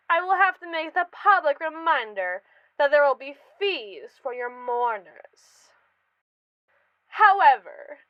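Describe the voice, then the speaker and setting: A woman's voice: high-pitched, no room sound, muffled recording. A woman playing a pompous, callous space mogul in a scripted, theatrical ASMR roleplay monologue, condescending to the colonists beneath her. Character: haughty, callous female space mogul lording over colonists.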